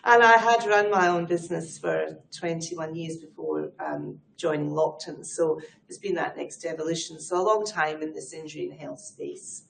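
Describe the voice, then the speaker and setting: Scottish woman with a gravelly low voice. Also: moderate pace, hardly any pauses, roomy sound, a little hiss or hum, poor audio. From Scotland. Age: middle-aged. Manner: self-deprecating, chatty and fluent. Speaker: guest, head of people advisory. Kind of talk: conversational interview answers.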